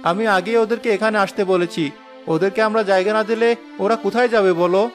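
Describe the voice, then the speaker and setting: A man's voice: high voice, fairly even intonation, quick pace, soft background music, close to an everyday voice. A man voicing a kind young village headman. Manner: earnest, encouraging. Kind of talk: Bengali cartoon voice acting.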